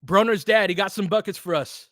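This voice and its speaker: A man giving informal live basketball play-by-play as a partisan fan, speaking in terse, clipped phrases and getting excitable on big plays. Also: sits high, a little fast, dry recording, clean studio sound.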